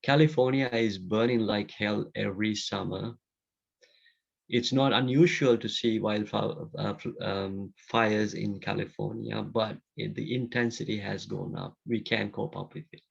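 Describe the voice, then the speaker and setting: Man, middle-aged, from Sri Lanka, gravelly, lo-fi video call audio, casual Q&A answers with restarts. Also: fairly low pitch, fairly even intonation, a little slow. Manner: conversational, thinking aloud with restarts and fillers. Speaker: guest speaker answering student questions.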